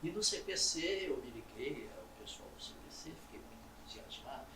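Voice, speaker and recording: An older man from Salvador, a stage actor telling his story in an interview in a measured, reflective way. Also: medium pitch, very animated, noisy background. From Brazil, Salvador. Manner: measured, reflective, with frequent pauses and "né?". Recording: roomy echo, distant mic, audible ambience.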